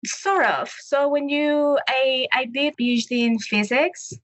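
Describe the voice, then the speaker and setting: A woman's voice: high voice, measured pace, rough recording. A woman in her late thirties from Colombia with a Colombian Spanish accent, a Spanish speaker answering interview questions in a thoughtful way and restarting as she searches for words. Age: late 30s. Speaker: guest.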